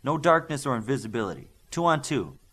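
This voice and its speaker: A man's voice: mid-range voice, big pitch swings, a little fast, a bit bright, husky, pitched above the performer's own voice, exaggerated voice. A man reads as a stern male trainer in a superhero audiobook. He gives clipped orders in a raised voice with firm command. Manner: clipped instructions.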